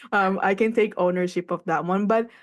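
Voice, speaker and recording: A woman's voice: mid-range voice, fairly even intonation, normal speed. A young woman from the Philippines hosting a radio talk show, chatting in a loose, reflective way and laughing often. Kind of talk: casual radio conversation.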